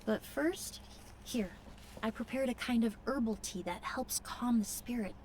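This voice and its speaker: A woman voicing a composed, gentle and thoughtful mentor figure in a video game. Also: fairly high pitch, measured pace, a little hiss or hum, lightly acted. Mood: gentle, reflective.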